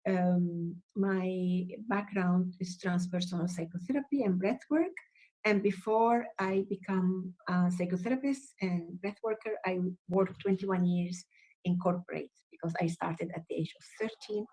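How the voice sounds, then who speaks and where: A woman from Venezuela, a psychotherapist guest in an interview, talking about her background in a lively, open way. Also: lowish voice, unhurried, poor audio. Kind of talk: interview conversation.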